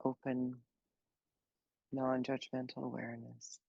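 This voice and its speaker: A woman leading a guided meditation, speaking slowly and gently in an unhurried way with long pauses. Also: extremely low pitch, completely flat delivery, gravelly, husky, no room sound, lo-fi sound. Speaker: meditation teacher.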